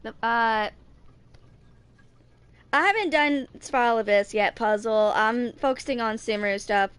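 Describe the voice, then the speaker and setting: Young woman streaming a game, highish smooth clear voice, kinda rambly n casual, repeats herself. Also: measured pace, a bit bright. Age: 20s. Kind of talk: casual livestream chat with some reading aloud of game text.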